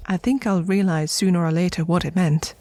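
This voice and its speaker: A woman playing an earnest, reflective alien woman in an audio drama, speaking in a measured, articulate and solemn way. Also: lowish voice, fairly even intonation, mellow, natural voice. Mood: curious, thoughtful. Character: earnest, reflective alien woman revering her people's ancient past.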